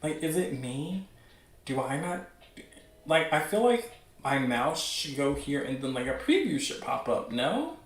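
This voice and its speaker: A man hosting a show with casual, unscripted commentary, rambling as he thinks out loud. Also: on the high side, big pitch swings, a little slow. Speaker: host of a webcomic news show. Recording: roomy, echoing sound.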